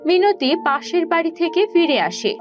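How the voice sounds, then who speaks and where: A woman's voice: extremely high pitch, normal speed, soft background music. A woman as narrator, reading scripted story narration for a cartoon.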